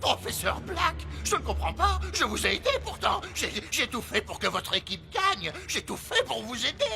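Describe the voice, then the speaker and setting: Un comédien de doublage qui joue un magouilleur lâche, obséquieux et geignard de dessin animé, théâtral dans son jeu. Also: male voice, very high voice, sing-song, normal speed, gravelly, music in the background, heavily acted. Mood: whining, pleading. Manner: theatrical, sneering then pleading. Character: cowardly scheming male match-fixer, sycophantic and whiny. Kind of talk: animated series dub acting.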